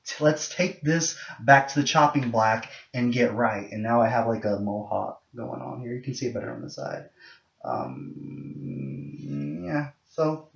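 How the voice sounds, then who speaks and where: Young man, low voice, candid rambling vlog, muffled lo-fi sound, echoey room. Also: expressive, a little slow, gravelly. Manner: candid and rambling, many ums and restarts. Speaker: vlogger. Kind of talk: casual monologue to camera.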